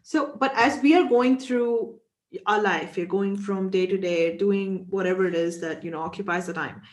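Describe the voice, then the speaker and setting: A woman's voice: mid-range voice, normal speed, darkish tone, reverberant. A woman hosting a podcast interview, summarizing her guest's points and asking structured questions. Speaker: podcast host.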